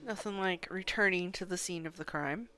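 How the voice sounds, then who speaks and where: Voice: mid-range voice, moderate pace, pushed and bright. A game streamer casually commenting on play with brief, wry interjections. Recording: clear background noise.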